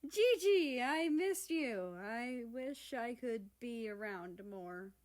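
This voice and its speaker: A woman's voice: a little high, expressive, slow pace, darkish tone, pitched above the performer's own voice. A woman voicing an exasperated young male university student in a storybook, pleading and indignant with a groaning, whiny manner. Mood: fond.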